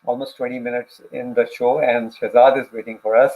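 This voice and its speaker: A man co-hosting a conversational careers livestream, speaking in a halting way and repeating points for emphasis over a video call. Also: medium pitch, level tone, a little mellow, rough recording. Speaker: co-host of a career advice livestream for immigrants.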